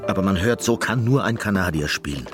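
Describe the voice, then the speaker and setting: A man's voice: low voice, lively intonation, a little fast, over loud music. An actor playing a reclusive, neurotic Canadian pianist in a radio drama, brooding and repeating his phrases compulsively. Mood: musing, intense.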